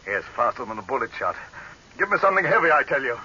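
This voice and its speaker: An actor playing a proud, tyrannical dictator in a theatrical 1930s radio drama style, declamatory and imperious. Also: male voice, medium pitch, very animated, quick pace, bright, forceful tone, husky, dull audio, heavily acted. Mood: panicked, frantic. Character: tyrannical, proud male dictator, cynical and desperate. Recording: hissy, band-limited 1930s radio recording.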